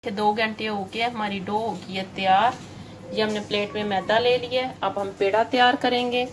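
A woman's voice: medium pitch, normal speed, background noise. Woman explaining cooking step by step. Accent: Pakistani Urdu. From Pakistan. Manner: calm, repetitive, pointing things out to viewers. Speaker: home cooking channel host. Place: home kitchen. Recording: kitchen clatter of dishes and pans.

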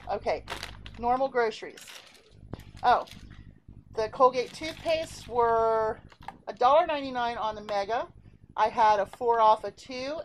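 A woman's voice: on the high side, a little hiss or hum, low-quality recording. A woman, chatting upbeat through a grocery haul at home. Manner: chatty, upbeat, talks through prices and coupons. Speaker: vlogger showing a grocery haul. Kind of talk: casual chat. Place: home, indoors.

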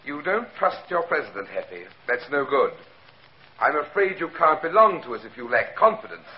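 An actor playing a suave, murderous gang boss in 1940s radio style, with precise, mock-courteous formality. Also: male voice, a little high, very animated, normal speed. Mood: quietly menacing. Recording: muffled, noisy 1940s radio recording.

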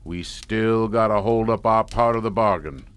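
An actor playing a folksy, grimly resigned Southern family patriarch in a radio horror drama, with a Southern US accent. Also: male voice, lowish voice, moderate pace, fairly bright tone, smooth, lightly acted. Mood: grimly matter-of-fact.

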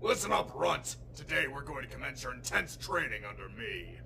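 A man's voice: a little high, sing-song, moderate pace, vocal fry, background noise. A man voicing a stern, impatient, insulting alien warrior mentor in a cartoon parody.

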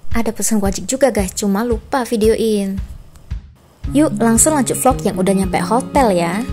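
A woman's voice: a little high, moderate pace. Indonesian woman narrating over guitar music. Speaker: vlogger narrating her family trip. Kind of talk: casual vlog voice-over. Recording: music under the voice.

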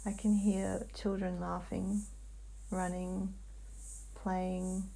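A woman describing a trance vision in a dreamlike way as a guided hypnosis client, halting and hushed, with long pauses. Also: mid-range voice, flat delivery, gravelly, poor audio.

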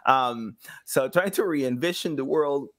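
A man's voice: medium pitch, unhurried, a bit bright. Male podcast guest, thirties, from South America; mid-range voice, casual anecdotal delivery with acted-out quotes. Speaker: podcast guest, sound designer. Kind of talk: casual interview storytelling. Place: small home room, video call. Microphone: boom-arm microphone with headset.

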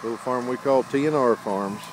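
A man talks in a plain, mid-pitched voice, explaining things in a folksy, unhurried way. He's outdoors on a farm field, recorded on a handheld phone, with a tractor engine droning clearly behind him. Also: background noise. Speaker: farmer filming his tractor. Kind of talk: casual narration while filming. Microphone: handheld phone camera.

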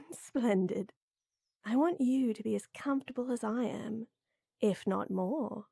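A woman playing a tall, tender, teasing lady knight in an intimate roleplay audio, coaxing softly. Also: on the high side, slow pace, dry recording, clean studio sound, full character voice. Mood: flirty, teasing. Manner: soft, teasing, coaxing, with breathy near-whispers.

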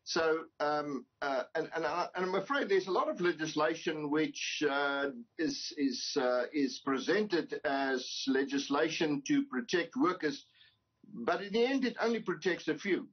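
An older man speaks over a video call from his study, his husky voice sitting fairly high for a man. He talks emphatically but stumbles through frequent restarts. The call audio is dull and lo-fi. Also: unhurried. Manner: hesitant, many restarts, emphatic. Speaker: employers' association representative. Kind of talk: news interview on video call. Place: home study with bookshelves.

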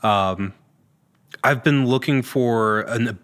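A man's voice: mid-range voice, slow pace, a bit bright. A man, an actor being interviewed as a podcast guest, answering casually in a thoughtful, searching way. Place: large indoor studio room. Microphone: podcast microphone on a boom arm.

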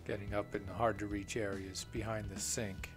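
A man with a fairly low voice talks through his plumbing tools off camera, recorded on a handheld camera indoors. He speaks plainly and without hurry, often pausing on "uh". Faint music sits under his voice. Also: flat delivery. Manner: plain, unhurried, with frequent "uh" fillers. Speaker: plumbing contractor. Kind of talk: off-camera tool walkthrough.

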